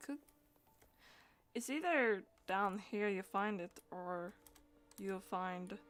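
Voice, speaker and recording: Woman, mid pitch, game streamer, reads and comments aloud, stumbling, repeats words. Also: lively intonation, a bit bright. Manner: halting reading with stumbles and repeats. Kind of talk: reading game dialogue aloud and chatting.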